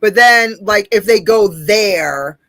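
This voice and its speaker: A deep-voiced woman hosting a casual chat, talking bluntly with plenty of swearing and frequent laughter. Her voice sits at an ordinary pitch. The sound is dry and close. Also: slow, fairly bright tone, no echo. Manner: blunt, profane, laughs often. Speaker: podcast host.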